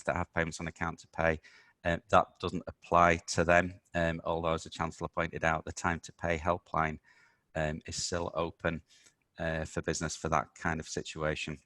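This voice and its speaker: Croaky low-voiced man hosting a webinar. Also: sharp tone, no room sound. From United Kingdom. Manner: hesitant, many ums and uhs. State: slightly croaky voice. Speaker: webinar host, accountant. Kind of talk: business webinar briefing.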